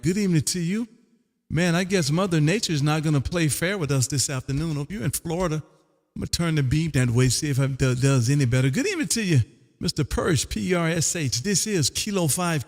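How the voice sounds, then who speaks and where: A man's voice: on the high side, expressive. A man from Texas hosting an amateur radio live stream, making calls and chatting casually in a folksy showman's way. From Texas, United States. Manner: folksy showman, drawn-out call signs, peppered with "man". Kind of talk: ham radio contact calls and casual chat. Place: home radio room. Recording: long hall-like reverb.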